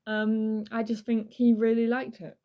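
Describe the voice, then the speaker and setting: A woman's voice: on the high side, little pitch movement, measured pace, muffled recording. A woman co-hosting a true crime podcast, chatting casually and informally as she tells the story, leaning on repeated words for emphasis. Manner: informal, emphatic repetition for effect.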